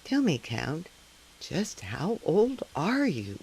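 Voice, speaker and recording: A man playing a probing, skeptical talk show host in comic live radio theater, with a deadpan, announcer-like delivery. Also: a little high, takes it slowly, breathy edge, heavily acted, poor audio.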